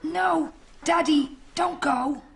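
A woman's voice: high-pitched, slow, heavy background noise. A woman playing a concerned grown-up daughter in a sitcom. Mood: pleading. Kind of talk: sitcom acting before a live audience.

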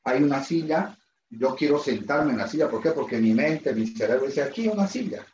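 A man's voice: medium pitch, expressive, a little fast, a little mellow. A middle-aged man gives a chatty, self-deprecating online lecture and keeps asking his students questions. His headset picks up a roomy echo, and the call audio sounds slightly dull. A faint hum sits under his voice. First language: Spanish. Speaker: university lecturer. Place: room at a whiteboard, indoors.

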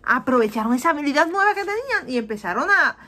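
Animated Puerto Rican woman teaching kids. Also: sits high, quick pace. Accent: Puerto Rican Spanish. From Puerto Rico. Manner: animated, acts out voices of people, asks "¿ok?" often; mixes English into Spanish. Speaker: children's Bible teacher. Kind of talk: lively Bible lesson for children. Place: small indoor room. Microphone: webcam on a video call.

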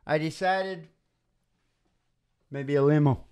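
A man's voice: on the high side, slow pace, a little mellow, clean tone. A middle-aged man hosting an old-time radio style music show with playful, theatrical, casual stage patter into a microphone. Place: small indoor room, live performance. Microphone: stage microphone on a stand, close up. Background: acoustic guitar playing.

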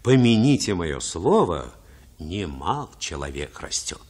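An actor narrating boyhood memories in a radio drama as a reflective man, husky and expressive, with literary phrasing. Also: low voice, measured pace, heavily acted. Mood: reminiscent.

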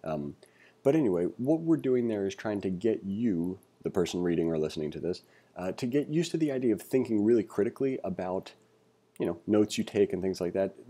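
A man giving a read-along coding tutorial, reading aloud and thinking out loud with informal asides. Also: low-pitched, slightly rough. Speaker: instructor.

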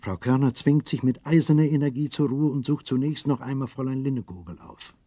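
Male actor for earnest radio-play narrator, mellow, slightly dark, husky voice. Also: medium pitch, brisk, dry recording, close to an everyday voice. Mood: measured, earnest. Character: measured, earnest storyteller of a children's novel. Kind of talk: scripted storybook narration.

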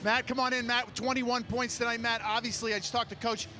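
A man interviewing a coach and player on the arena floor after a game, holding a handheld mic, asking quick friendly questions. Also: extremely high pitch, little pitch movement, sharp tone. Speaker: sideline interviewer. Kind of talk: postgame interview.

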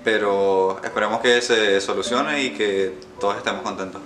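A seventeen-year-old man from Venezuela, a student, giving short and halting answers. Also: fairly low pitch. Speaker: high school student. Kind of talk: brief interview answers. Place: room with bookshelves. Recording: roomy sound with loud guitar music.

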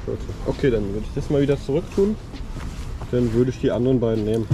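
Male flea market buyer, colloquial and easygoing, rapid pace, mid pitch, busy outdoor recording. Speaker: flea market buyer filming his visit. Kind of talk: casual chat, haggling. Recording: noisy outdoor street recording.

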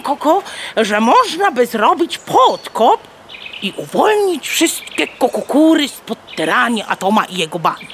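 A woman's voice: a little high, a bit bright, slight background noise, full character voice. A woman voicing a bossy, clever mother hen in a children's audio drama, declaiming and clucking between her words. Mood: eager, clucking.

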